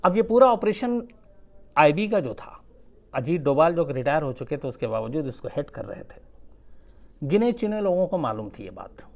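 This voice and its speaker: A man telling a true-crime story without a script, conversational and suspenseful, with dramatic pauses. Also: a little high, sing-song.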